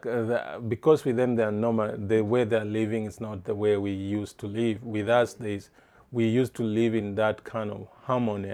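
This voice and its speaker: A middle-aged man from East Africa answers questions in a lowish voice. He speaks haltingly, often restarting a phrase while he looks for the right word. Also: a little mellow. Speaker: interviewee. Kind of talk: casual interview answers.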